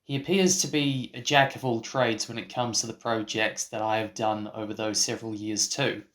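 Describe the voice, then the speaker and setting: A man, speaking in a formal, measured, dry way as he presents a scripted interview. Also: fairly low pitch, fairly even intonation, fairly bright tone. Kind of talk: scripted interview in a radio play.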